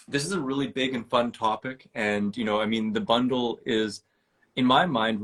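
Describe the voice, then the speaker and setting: A man hosts a relaxed interview and talks at length, earnest and excited. His voice sits at a middle pitch with a husky, slightly rough grain. He strings long thoughts together and circles back to repeat himself. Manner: earnest, rambling, enthusiastic. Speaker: podcast host. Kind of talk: casual interview chat.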